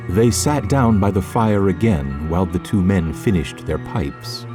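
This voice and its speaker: A man's voice: deep voice, measured pace, music in the background. A man narrating a literary story as part of a scripted audio drama.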